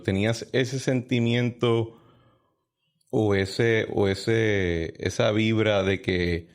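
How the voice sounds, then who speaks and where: A man hosting a podcast, asking long, searching questions of his guest. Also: on the low side. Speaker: podcast host. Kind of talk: interviewing.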